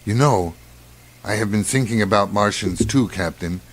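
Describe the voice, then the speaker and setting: A man acting the role of a suspicious, brooding doctor on a space crew, deliberate and ominous in radio drama style. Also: fairly low pitch, close to an everyday voice. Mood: musing suspicion. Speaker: actor in amateur radio play.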